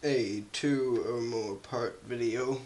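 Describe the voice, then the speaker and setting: A young man hosts a casual teardown video, his voice crackly from a cold. He talks slowly and haltingly, often clearing his throat. Faint noise sits under the camera mic in a carpeted room indoors. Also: mid-range voice, darkish tone. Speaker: YouTube host. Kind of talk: casual teardown vlog narration.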